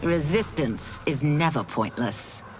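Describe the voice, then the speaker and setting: Resolute female game warrior, low voice, lively intonation, terse phrasing, music behind. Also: slow, poor audio. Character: stern, resolute female swordfighter, homeless wanderer. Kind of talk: video game cutscene acting.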